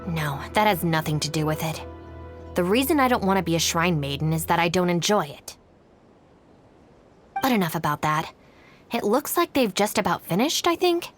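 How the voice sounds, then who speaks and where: A composed young woman in a video game. Her pitch sits a little low and she talks in a blunt, practical, articulate way. Music plays clearly underneath. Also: sing-song, moderate pace, pauses often. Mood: frank, reflective. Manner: matter-of-fact, articulate, cool-headed.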